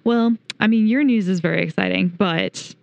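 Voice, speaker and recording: A woman co-hosting a casual podcast, chatty and quick to laugh. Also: medium pitch, vocal fry, no echo, highs cut off. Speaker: podcast co-host. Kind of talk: casual chat.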